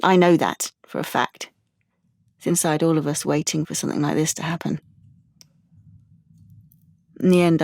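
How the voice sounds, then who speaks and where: A young woman in an audio drama, anxious and wounded. She confides in a halting, stumbling way, guarded about herself. The sound is close and dry, with no room around her. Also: low-pitched, creaky, darkish tone, no echo. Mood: bleak, confiding. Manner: halting, confiding, with stammered restarts. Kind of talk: audio drama monologue and dialogue.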